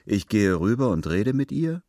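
Man reading as a curt, deep-voiced swaggering young man. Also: sing-song, moderate pace, warm tone, no echo, clean studio sound. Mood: cocky. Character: big swaggering young man, grinning and suspicious.

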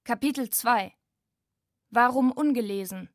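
A woman presenter with a fairly high voice reads scripted announcements. The sound is dry and close, like studio narration. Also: measured pace, dry recording.

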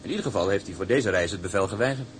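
An actor playing an eager, deferential young ship's engineer in a Dutch radio drama, hesitant and apologetic. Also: male voice, deep voice, rapid-fire, noisy background, close to an everyday voice. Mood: nervous, gossipy.

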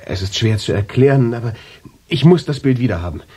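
A desperate man pleads in a radio play. His voice is dark and very breathy, rising and falling with urgency. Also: mid-range voice, lively intonation, brisk. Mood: urgent, pleading. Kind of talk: radio drama dialogue.

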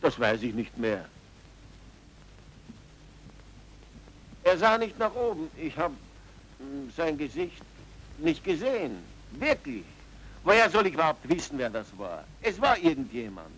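Agitated, high-voiced Viennese man. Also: sing-song, moderate pace, fairly bright tone. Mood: evasive. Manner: agitated, repetitive, colloquial dialect. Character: nervous, evasive, blustering Viennese male witness, henpecked husband. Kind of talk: old German radio play acting. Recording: faintly noisy old radio drama recording.

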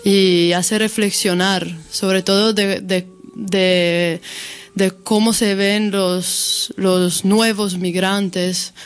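Woman from Italy, guest musician, slow and thoughtful, mid-pitched. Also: flat delivery, smooth. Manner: unhurried, thoughtful, hesitant. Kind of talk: radio interview.

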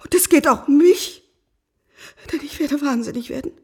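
An actress playing a desperate, virtuous wife in a radio drama, imploring formally and emotionally in a breathy voice. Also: female voice, high voice, normal speed. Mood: despairing. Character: desperate virtuous wife pleading to save her family. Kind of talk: literary radio drama acting.

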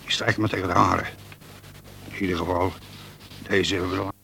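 A coarse, cynical convict in a radio drama, with a bright, cutting edge to a low voice. He talks in streetwise slang like a crafty man of principle. The recording has faint noise behind it. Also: level tone, brisk, vocal fry, exaggerated voice. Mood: cynical, scheming. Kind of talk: radio play acting.

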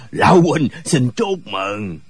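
A man plays an old wuxia companion with a wry turn. His voice is husky and lively in pitch, close and dry as audiobook dialogue. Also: dry recording, full character voice. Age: older. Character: old martial-arts companion, wry, addresses friend as elder brother.

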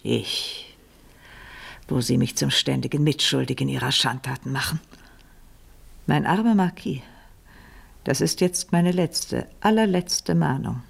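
A haughty, scheming lady of rank in a period radio drama. Her voice is low and creaky, husky, and her formal delivery is coolly ironic and imperious. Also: heavily acted. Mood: solemn, reproachful. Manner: formal, measured, imperious irony. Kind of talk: 1970s German radio play acting.

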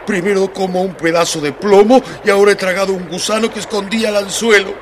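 An actor voicing a big, dim-witted fish who complains and gulps with hiccups in a children's audio storybook. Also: male voice, high-pitched, fairly even intonation, quick pace, slight background noise, close to an everyday voice. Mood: despairing. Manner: complaining, gulping hiccups between phrases.

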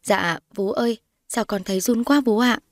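A woman's voice: on the high side, moderate pace, dry recording, lightly acted. A timid teenage girl acted by a woman narrator in an audio drama. She speaks meekly and deferentially, earnest and fearful, in a dry recording. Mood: nervous. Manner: meek, pleading, deferential. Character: timid, earnest fifteen-year-old orphan girl, polite and fearful.

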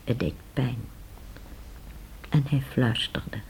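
A woman with a husky voice narrating a radio play as a dramatic, scripted storyteller. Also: extremely low pitch, slow pace, darkish tone, low-quality recording.

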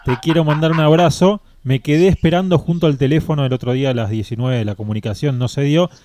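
A man's voice: fairly high pitch, quick pace. A man with an Argentine accent, bantering playfully and teasing in a radio studio, with faint noise and clicks. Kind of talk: casual joking radio banter.

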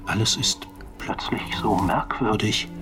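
An actor playing an awestruck, frightened man in a German radio drama, speaking in hushed, halting wonder. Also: on the low side, whispered, music in the background, close to an everyday voice. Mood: awed, frightened. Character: awestruck man sensing the world dissolving.

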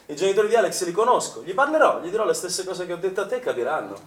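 A man's voice: high voice, sing-song, rapid-fire. An actor playing a defensive, irritable male swimming instructor in a naturalistic stage drama, agitated and blunt in manner. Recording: echoey, distant stage recording with noise.

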